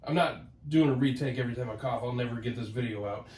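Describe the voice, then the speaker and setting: A man with a head cold, sounding nasal, hosting a casual collector vlog from his home office in an excitable, rambling way that repeats words. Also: mid-range voice, fast. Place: home office, ten-foot ceiling. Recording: roomy home office, mic at a distance.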